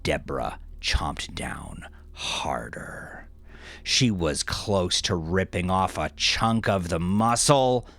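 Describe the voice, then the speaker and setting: A man narrating dark, violent fiction in an intense, theatrical manner that swings between hushed and forceful. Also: sits low, lively intonation, takes it slowly.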